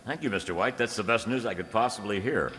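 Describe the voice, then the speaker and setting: A man's voice: on the low side, quick pace, fairly bright tone, soft background music, natural voice. An actor playing a confident, courteous male newspaper reporter in a radio serial. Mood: polite. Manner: polite, assured. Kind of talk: old-time radio serial acting. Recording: echoey hall.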